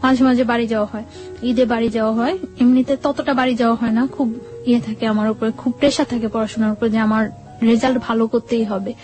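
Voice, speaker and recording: Young woman from bangladesh, highish voice, telling her story bit by bit, music behind. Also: little pitch movement, fast, darkish tone. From Bangladesh, Barisal region. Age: young adult. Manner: repetitive, step-by-step recounting. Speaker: guest telling life story. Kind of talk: personal storytelling in an interview. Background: background music.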